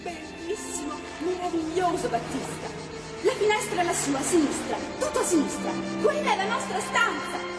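An actress playing a passionate, excitable woman in a radio drama, effusive and dramatic. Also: extremely high pitch, a little fast. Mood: delighted. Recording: echoey, distant, loud music behind.

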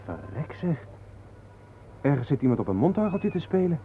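A male actor in a Dutch radio play, playing a skeptical, excitable photographer who talks bluntly and colloquially, full of questions. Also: a little high, moderate pace. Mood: startled, agitated. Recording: noisy, thin phone-like old radio recording.

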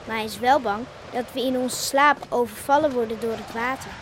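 Woman as an earnest girl, high voice, noisy recording. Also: fairly quick, close to an everyday voice. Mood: serious. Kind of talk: Dutch radio play acting. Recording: noisy old radio play recording.

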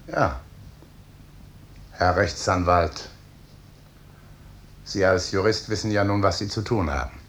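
An actor playing a stern, brooding, guilt-ridden professor and clinic chief in a 1950s German radio drama, speaking with emphatic authority. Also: male voice, low voice, takes it slowly, long pauses, fairly bright tone, slight background noise. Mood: grave confession. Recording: early 1950s radio studio recording.

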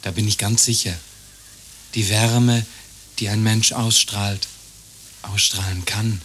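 An actor playing a courteous, slightly awkward gentleman caller in an absurd radio play. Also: fairly low pitch, steady tone, takes it slowly, a little hiss or hum. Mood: courteous, flattering. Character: polite, flattering gentleman caller growing uneasy. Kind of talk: German radio play acting, absurd satire.

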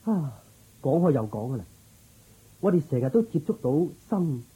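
An actor playing a dutiful, inquisitive military officer in a radio drama, with a musing way of talking. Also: male voice, on the high side, sing-song, normal speed, mellow, slightly rough, full character voice, low-quality recording. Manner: musing, questioning.

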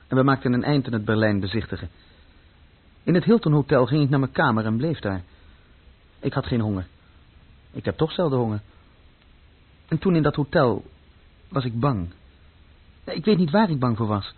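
A man's voice: medium pitch, slightly rough. An actor playing a troubled, plain-spoken young German man who recounts his past in short matter-of-fact sentences in a radio play. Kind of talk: radio play first-person narration. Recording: dull lo-fi old radio play recording.

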